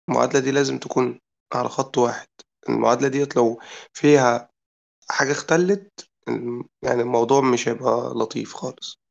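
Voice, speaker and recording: A man's voice: medium pitch, vocal fry, highs cut off. A man with an Egyptian accent and a cold that has worn down his voice. He explains his point at length in a casual group discussion and keeps checking that his listeners follow him. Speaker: product designer in a group chat.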